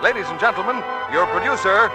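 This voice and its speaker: High-pitched male 1940s radio announcer. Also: quick pace. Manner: formal, declamatory. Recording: vintage radio recording over loud music.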